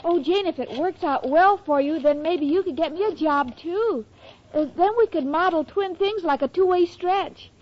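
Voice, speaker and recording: An actress playing a sweet, naive, scatterbrained young roommate, earnest and innocent, in a 1940s radio comedy. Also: female voice, extremely high pitch, normal speed. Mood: sweetly clueless. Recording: dull noisy vintage radio, studio audience.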